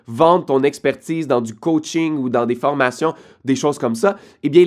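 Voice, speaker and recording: A man with a Québécois French accent, casually addressing viewers as a vlogger with direct, emphatic advice. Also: a little high. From Quebec, Canada. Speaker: online business creator.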